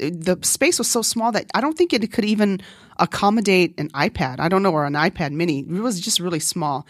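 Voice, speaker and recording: Woman podcast host, middle-aged, from Guam, Korean first language; lowish voice, dry close sound, chatty storyteller. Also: no echo. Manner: chatty, laughs often. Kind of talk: personal storytelling monologue.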